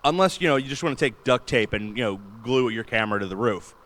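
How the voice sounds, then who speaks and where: Guy with a big booming voice, chatty and excited, talking at a con panel in a big room. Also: fast. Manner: enthusiastic, chatty, laughs often. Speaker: convention panelist. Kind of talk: casual panel talk on streaming gear. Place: large convention panel room.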